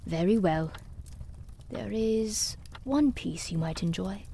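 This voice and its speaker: A woman's voice: mid-range voice, lively intonation, takes it slowly, gravelly. A sly, drawling young boy. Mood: sly, smug. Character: sly, precocious, politely mocking young boy. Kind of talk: audio drama acting. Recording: loud music behind the voice.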